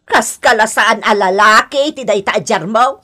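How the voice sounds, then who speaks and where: A woman's voice: a little high, normal speed, sharp tone. An actress with an Ilocano accent playing a domineering, quarrelsome mother-in-law who scolds in an exaggerated radio-drama style. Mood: scolding, insistent. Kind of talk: Ilocano comic-melodrama radio acting.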